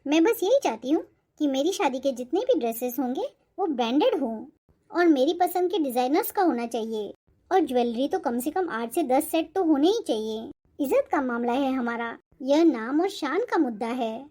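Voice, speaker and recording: A spoiled young cartoon heiress performed by a woman with a very high, girlish voice. She speaks with haughty, coquettish confidence. She boasts and makes demands as if she expects to get her way. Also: expressive, moderate pace, mellow. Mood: demanding, haughty. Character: spoiled, boastful rich young bride-to-be Radhika. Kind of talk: Hindi cartoon moral-story voice acting.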